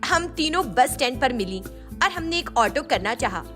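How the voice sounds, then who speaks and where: A woman's voice: high voice, big pitch swings, normal speed, a bit bright. A woman voicing naive, cheerful young village daughters-in-law who speak guilelessly and respectfully in a storybook tale. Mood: innocent explaining. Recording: music behind the voice.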